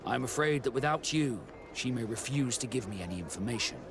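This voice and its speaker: An actor playing a searching, formally spoken sailor companion in a video game. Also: male voice, mid-range voice, lively intonation, fairly quick, natural voice. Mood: earnest. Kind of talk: dramatic video game dialogue. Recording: clear outdoor ambient noise behind.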